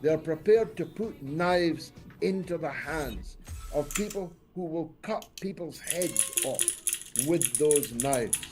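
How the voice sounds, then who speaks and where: A man's voice: on the high side, expressive, slow pace, over music. An older man giving political commentary as an interview guest, speaking emphatically with rhetorical lists and questions.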